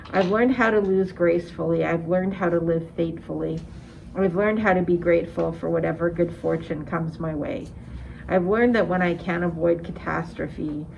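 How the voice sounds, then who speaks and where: A middle-aged woman reads a confessional poem aloud. Her voice is on the low side with plenty of creak, and faint room noise sits behind it. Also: a little mellow, muffled recording. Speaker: amateur poet.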